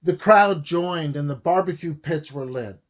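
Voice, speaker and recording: Slow-reading male audiobook narrator, slightly high voice. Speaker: author reading own audiobook.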